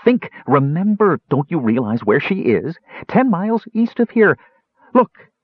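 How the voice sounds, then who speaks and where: A man voicing a small, eager, excitable kender who nags and pleads in a fantasy audiobook. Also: on the high side, fairly quick, breathy edge, no echo, phone-quality sound, pitched above the performer's own voice, heavily acted. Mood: urgent.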